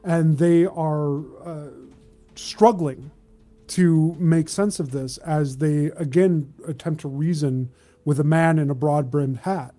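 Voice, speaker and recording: A man narrating a tabletop roleplaying game as game master, with a deliberate, halting delivery. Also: on the high side, fairly even intonation, warm tone, faint music behind.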